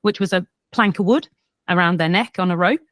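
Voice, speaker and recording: A Welsh woman whose accent has softened after years in Australia, telling history as a podcast guest in an animated, self-deprecating way. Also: lowish voice, fairly quick, no echo, studio quality. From Wales. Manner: animated, self-deprecating, frequent restarts and laughs. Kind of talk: casual conversational storytelling.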